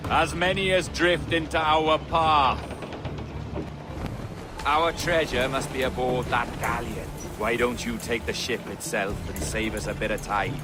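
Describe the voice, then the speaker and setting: Slow, swaggering pirate captain played by a man. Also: mid-range voice, steady tone, over music, lightly acted. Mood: cold, sardonic. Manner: theatrical, sardonic, relishing his words. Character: swaggering, cynical, ruthless male pirate captain. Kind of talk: video game cutscene acting.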